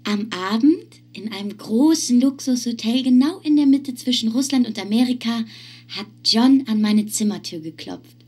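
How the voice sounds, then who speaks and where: An actress playing a dreamy, earnest eight-year-old girl in a radio drama, speaking in a slow, childlike way. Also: on the high side, a little mellow, slightly rough. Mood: dreamy, proud. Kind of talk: German radio drama acting.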